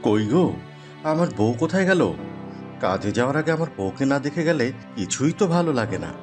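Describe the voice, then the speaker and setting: A man's voice: mid-range voice, moderate pace, music in the background, close to an everyday voice. Guy doing a good-hearted woodcutter husband for a fairy tale cartoon, medium voice, earnest. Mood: affectionate. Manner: earnest, polite, expressive.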